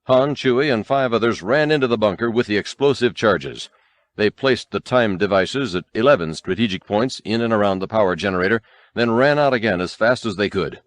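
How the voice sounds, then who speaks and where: A man's voice: lowish voice, fairly quick, dry recording. A man narrating an audiobook in a dramatic, scripted storytelling style.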